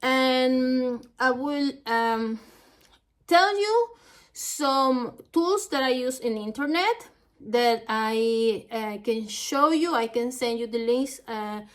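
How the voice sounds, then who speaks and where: A woman from Ecuador, a native Spanish speaker with a Latin American Spanish accent, giving an instructional lesson as a language teacher in a halting, careful way, phrase by phrase. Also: high-pitched, lively intonation.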